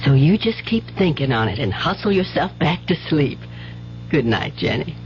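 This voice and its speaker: A woman's voice: deep voice, big pitch swings, moderate pace, a little mellow, a little hiss or hum. An actress playing an anxious, doting rural mother in a 1970s radio drama, with folksy, tender speech. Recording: muffled old radio broadcast, music bed.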